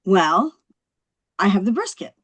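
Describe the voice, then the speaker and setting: A woman at a script table read playing a quick-witted young housewife, chatty, coaxing and wry. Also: medium pitch, sing-song, a bit bright. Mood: polite, persistent.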